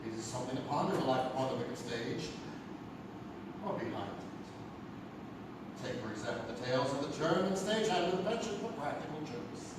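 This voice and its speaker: Man as high, dramatic mystery narrator. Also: animated, normal speed, pauses often, close to an everyday voice. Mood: suspenseful. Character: male storyteller narrator of ghostly mysteries. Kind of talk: dramatic scripted narration, live radio theatre. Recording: echoey, noisy live theatre from far off.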